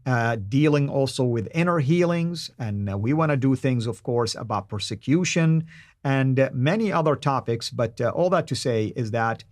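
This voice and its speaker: A man's voice: medium pitch, lively intonation, normal speed, no room sound. A man from Saudi Arabia whose first language is Arabic, hosting a live stream from a studio and speaking earnestly to his viewers with repeated, emphatic phrases.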